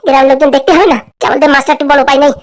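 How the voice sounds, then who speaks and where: A woman's voice: high voice, racing, natural voice. A woman voicing a boastful, wheedling village son-in-law in a comedy cartoon, with a Pabna Bengali accent. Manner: showy, wheedling, excuse-making. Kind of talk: rural comedy cartoon skit.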